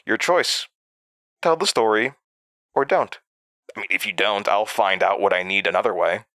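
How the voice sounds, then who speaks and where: A man's voice: on the low side, normal speed, pushed and bright, dry recording, clean studio sound. A man in a horror audio drama playing a smug, menacing entity that speaks through a young man's voice, mocking and condescending. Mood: sly, conceding.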